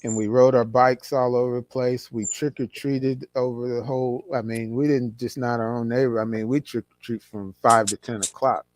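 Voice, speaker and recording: A man's voice: mid-range voice, fairly even intonation, normal speed, few pauses, a little mellow, no room sound, poor audio. A man in his sixties, from California, reminiscing as an interview guest in a rambling way, with many restarts. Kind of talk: casual conversation.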